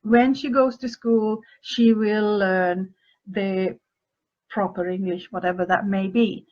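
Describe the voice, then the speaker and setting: A woman from Finland, a native speaker of Finnish and Swedish, hosts a podcast and gives advice on listener questions, thinking aloud with pauses and restarted phrases. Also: mid-range voice, steady tone, highs cut off. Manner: thoughtful and hesitant, pausing with fillers and restarting phrases. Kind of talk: advice talk answering questions.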